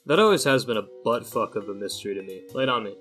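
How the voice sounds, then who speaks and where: A man playing a cool, laid-back, sardonic teenage boy with deadpan, casual delivery in a fan comic dub. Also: lowish voice, fast talker, faint music behind. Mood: dry, offhand.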